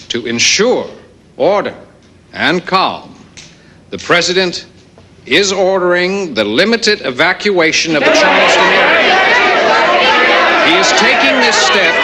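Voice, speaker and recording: Grave press secretary, noisy briefing. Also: male voice, sits high, full character voice. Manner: emphatic, deliberate, repeats phrases. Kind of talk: formal official statement acting.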